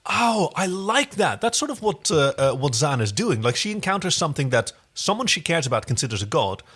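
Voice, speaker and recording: A man with a fairly high voice chats as a podcast co-host. His delivery is enthusiastic and expressive, swinging widely in pitch as he recaps television in a casual style. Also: fairly quick, husky. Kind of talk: casual TV episode recap chat.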